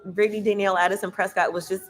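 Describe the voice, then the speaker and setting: A woman reads tarot cards in a loud voice that carries even when she tries to keep it down. She speaks in a casual, emphatic, sermon-like way and swears freely. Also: medium pitch, very fast. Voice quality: loud, carrying voice. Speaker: tarot reader. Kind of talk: casual spiritual tarot reading.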